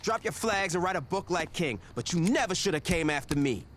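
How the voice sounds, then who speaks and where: A man's voice: high-pitched, lively intonation, brisk, fairly bright tone, slight background noise. A man playing a ruthless, defiant street gang boss in a video game cutscene, blunt, profane and threatening. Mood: bitter, scornful.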